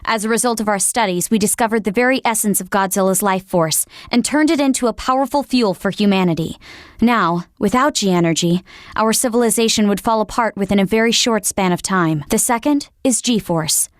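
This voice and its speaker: A woman's voice: mid-range voice, fairly quick, no echo, clean studio sound, lightly acted. A woman playing an earnest, dutiful military operator in a video game, speaking formally. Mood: grave, explaining. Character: earnest female military operator briefing her commander. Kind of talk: scripted video game voice acting.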